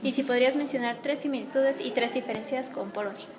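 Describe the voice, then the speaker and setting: Young woman interviewer with a high voice. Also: quick pace, background noise. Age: young adult. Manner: polite, scripted questions. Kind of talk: student video interview.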